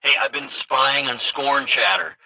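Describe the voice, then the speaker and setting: A man's voice: medium pitch, a little slow, noisy background, close to an everyday voice. A man playing a jittery, eager informant in a video game, hesitant, casual and conspiratorial as he passes on secret tips. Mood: awkward, eager. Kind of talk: scripted video game voice acting.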